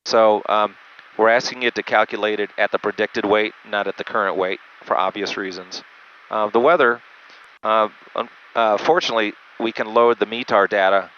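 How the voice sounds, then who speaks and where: Male pilot, low even voice, patiently methodical and halting as he explains procedures, dull headset sound. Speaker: jet pilot. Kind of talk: unscripted instructional walkthrough. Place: small jet cockpit in flight. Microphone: aviation headset through intercom. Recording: muffled band-limited cockpit headset audio, engine noise.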